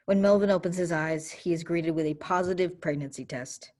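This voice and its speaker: A woman's voice: on the low side, a little fast, a little mellow. A woman reading stage directions as scripted narration for a play.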